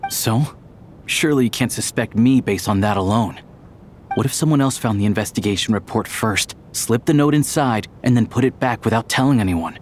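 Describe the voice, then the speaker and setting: A man's voice: fairly low pitch, a little fast, a little hiss or hum, natural voice. A man playing a guarded male suspect in a game dub, speaking in a coolly defensive way. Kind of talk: dramatic game dub acting.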